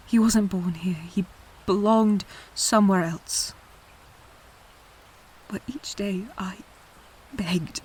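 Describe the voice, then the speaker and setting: A woman acting in an audio drama as a tender, anguished countess and adoptive mother, speaking in a halting, hushed way. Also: medium pitch, slight background noise, close to an everyday voice. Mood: grieving.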